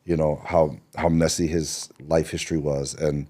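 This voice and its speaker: A man's voice: rumbling low, little pitch movement, normal speed, mellow. A middle-aged man from Seattle, a screenwriter and podcast guest, telling his story in a loose, rambling way with lots of fillers and restarts. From Seattle, Washington. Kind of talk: relaxed interview storytelling.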